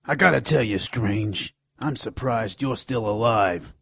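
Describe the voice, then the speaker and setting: A seedy gnome informant in an audio drama. The voice is husky and full of fry, at an unhurried pace. He leers and wisecracks with sardonic relish. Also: fairly low pitch, a bit bright, no echo, studio quality, exaggerated voice. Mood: grumbling, mocking. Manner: leering, wisecracking. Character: lecherous, sardonic gnome informant with keen supernatural nose.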